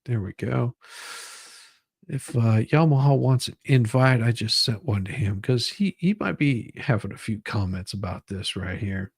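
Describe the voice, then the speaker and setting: A man giving casual live commentary as a stream host, halting and often repeating his phrases. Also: mid-range voice, lively intonation, gravelly, husky, no echo, studio quality. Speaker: livestream host.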